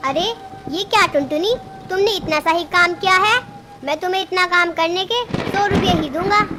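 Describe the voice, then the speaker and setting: A stingy cartoon boss scolds his worker in a shrill, very high voice, as a woman voices him. He sounds curt and tight-fisted. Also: normal speed, over music. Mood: gruff. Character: stingy male brick kiln owner, gruff boss. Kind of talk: cartoon story voice acting.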